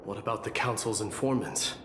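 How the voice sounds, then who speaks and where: An actor playing an exiled warrior crown prince in a video game, formal, measured and resolute. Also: male voice, fairly low pitch, fairly even intonation, background noise, natural voice. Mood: respectful, guarded. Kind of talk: scripted video game voice acting. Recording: music underneath the voice.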